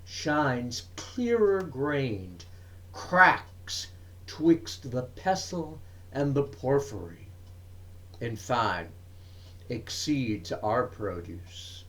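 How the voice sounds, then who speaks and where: A man giving a dramatic reading of verse, in measured, deliberate phrases broken by pauses. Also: mid-range voice, sing-song, fairly bright tone. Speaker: reader of poetry.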